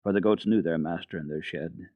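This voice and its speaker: A man giving an expressive dramatic reading of a children's classic as a radio storyteller, from an old radio recording. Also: low voice, a little fast, no room sound, muffled recording.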